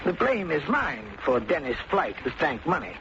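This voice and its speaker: An American actor playing a nervous middle-aged small-town bank manager with a hesitant, formal manner in an old radio drama. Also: male voice, fairly high pitch, big pitch swings, moderate pace. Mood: uneasy. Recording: muffled, noisy old radio recording.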